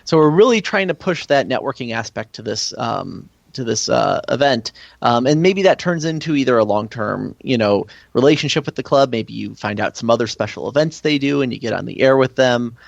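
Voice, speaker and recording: A man's voice: medium pitch, lively intonation, brisk, gravelly, hardly any pauses, dry recording, lo-fi sound. A man speaking as a guest in a casual interview, explaining things in a conversational way. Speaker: guest, amateur radio contest organizer.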